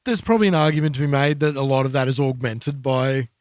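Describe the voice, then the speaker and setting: A man talks casually as a podcast co-host. His voice sits at an average male pitch, and he picks through his thoughts in a measured, slightly hesitant way. The sound is dry, with no room on it. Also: dry recording. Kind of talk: casual music discussion.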